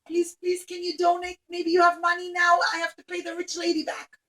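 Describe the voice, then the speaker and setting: Lady playing a humble old rabbi, earnest and begging, highish voice. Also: completely flat delivery, brisk, pushed and bright. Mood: pleading. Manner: earnest, pleading.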